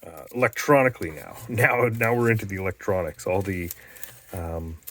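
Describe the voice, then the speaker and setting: A man's voice: deep voice, a bit bright, a little hiss or hum. A man from Canada giving a rambling, casual show-and-tell about restoring an old tape machine, stopping often with fillers and restarts. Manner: rambling, halting, lots of fillers.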